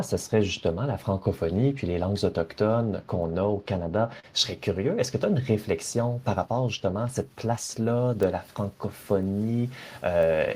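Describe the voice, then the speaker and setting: A man hosts a casual interview, asking winding questions. He repeats words as he searches for them. His voice is slightly low and husky. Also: mellow. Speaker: interview host.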